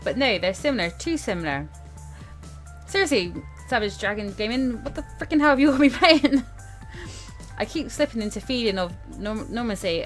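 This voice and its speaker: A woman hosting a casual let's-play, reading game text aloud with informal asides and stumbles over the written words. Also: a little high. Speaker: gaming video host. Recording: music behind the voice.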